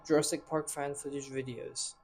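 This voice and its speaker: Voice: medium pitch, flat delivery, moderate pace, recorded from a distance. A narrator giving informal scripted video commentary, now and then stumbling over words. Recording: roomy echo under loud guitar music. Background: loud plucked guitar music.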